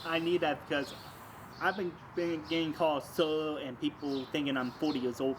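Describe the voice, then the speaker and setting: A man's voice: a little high, fairly even intonation, normal speed, background noise. A young man interviewing someone in a casual, conversational way.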